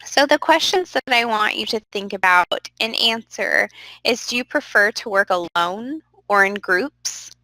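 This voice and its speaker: A woman's voice: mid-range voice, lively intonation, unhurried, bright, forceful tone, no room sound, low-quality recording. A young adult woman teaching a virtual class, upbeat and encouraging, a little stuffy from a cold, talking into a computer microphone. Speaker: instructor. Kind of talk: online class lecture.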